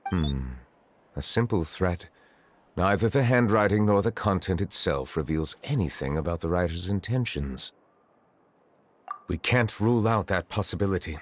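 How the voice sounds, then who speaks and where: Deep serious judge type from a game, very formal and careful with words. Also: male voice, lively intonation, normal speed, a little hiss or hum. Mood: grave, analytical. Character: grave, formal, protective male chief judge. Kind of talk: video game character dialogue.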